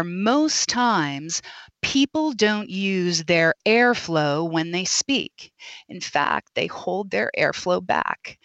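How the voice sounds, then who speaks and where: A woman voice coach explaining as a podcast guest, animated and demonstrative. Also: medium pitch, no room sound, lo-fi sound.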